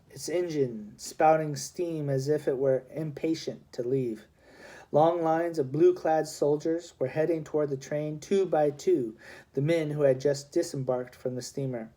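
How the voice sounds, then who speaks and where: A man's voice: fairly high pitch, mellow. A man reading a historical novel aloud as scripted storybook narration. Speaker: audiobook reader.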